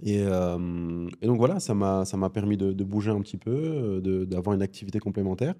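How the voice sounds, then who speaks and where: A man in his thirties from Belgium, a podcast guest talking casually in a studio, halting with many fillers. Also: sits low, mellow. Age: 30s. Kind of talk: casual interview chat.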